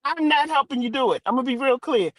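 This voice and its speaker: A woman's voice: high-pitched, expressive, fast, no echo, studio quality. A woman chatting casually on a live talk show, plain-spoken and emphatic, repeating phrases for stress.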